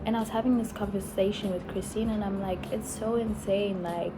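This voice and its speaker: A young woman from Africa, musing slowly in a casual travel vlog, full of sighs and likes. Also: mid-range voice, fairly even intonation, background noise. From South Africa. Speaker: vlogger. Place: in Italy while travelling.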